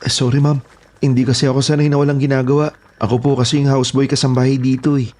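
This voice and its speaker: An actor playing a polite, eager young houseboy, earnest and deferential, in a horror audio drama. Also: male voice, medium pitch, flat delivery, fast, a little mellow, slight background noise, lightly acted. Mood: apologetic, insistent.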